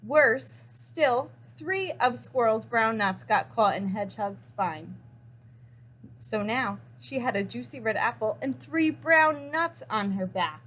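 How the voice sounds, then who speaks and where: A woman reading a children's picture book aloud expressively, with dramatic emphasis and pauses. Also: fairly high pitch, animated, a bit bright. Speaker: story reader.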